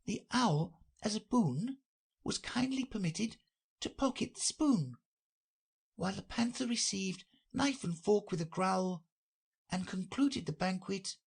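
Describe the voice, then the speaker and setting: A polite little girl from a storybook as a man acts her out, speaking in a high, lilting voice. She sounds eager, curious and a bit prim. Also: animated, measured pace, vocal fry, slightly rough, exaggerated voice. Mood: reciting.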